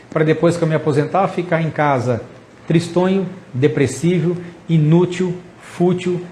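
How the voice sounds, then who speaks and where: A man in his fifties talks straight to camera, earnest and encouraging, stacking up lists and asking the listener rhetorical questions. His voice sits a bit high for a man. A faint hiss runs under the recording. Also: darkish tone. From Brazil. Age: 50s. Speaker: psychologist host. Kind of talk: motivational talk to camera.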